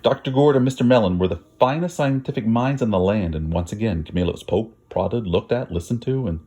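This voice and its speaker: A man's voice: lowish voice, fairly quick, dark tone, slightly rough. A man narrating a children's story expressively, with dramatic, emphatic phrasing. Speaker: storybook reader.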